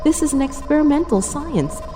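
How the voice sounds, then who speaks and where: A woman's voice: high-pitched, lively intonation, a little fast, background noise. A woman playing a cool, analytical senior starship officer in a sci-fi audio drama, dry and precise in manner. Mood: matter-of-fact. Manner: dry, precise, matter-of-fact.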